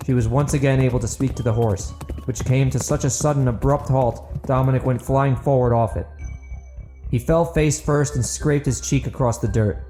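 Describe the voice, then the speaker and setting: A man's voice: mid-range voice, warm tone, low-quality recording. A man reading a dramatic scripted fantasy audiobook as narrator.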